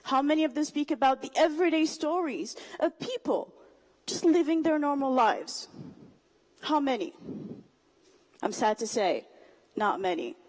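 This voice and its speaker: A young woman with a high voice gives a speech to a live audience. She talks in an engaging, rhetorical way and keeps asking the crowd questions. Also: expressive, measured pace, pauses often, a little mellow, poor audio. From Somalia. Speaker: student speaker.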